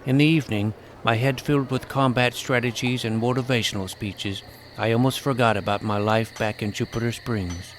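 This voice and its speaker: Guy playing plucky boy hero telling his fantasy quest, medium pitch, bit of background noise. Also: steady tone, normal speed, lightly acted. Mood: earnest storytelling. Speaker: narrator and lead character. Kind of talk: first-person scripted fantasy narration with dialogue.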